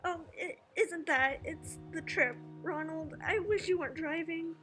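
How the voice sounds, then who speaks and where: A woman acting a worried, loving mother in a radio drama, with an extremely high voice. She fusses and pleads with her grown son over faint music. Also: unhurried, fairly bright tone. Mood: worried, tender. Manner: pleading, fussing.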